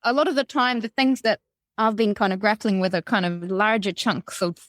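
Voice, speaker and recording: A woman who is a non-native speaker of English, talking as a linguist guest in a relaxed chat, with fillers and laughter. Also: mid-range voice, fairly quick, dry recording, clean studio sound. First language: not English. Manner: chatty, laughing, lots of fillers. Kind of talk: casual chat.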